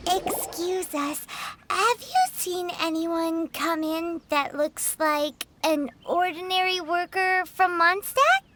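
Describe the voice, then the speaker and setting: A woman voicing a tiny, candid, childlike floating girl companion in a video game, with a squeaky voice and music behind her. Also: a bit bright, exaggerated voice. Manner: speaks of self in third person. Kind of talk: animated video game dialogue.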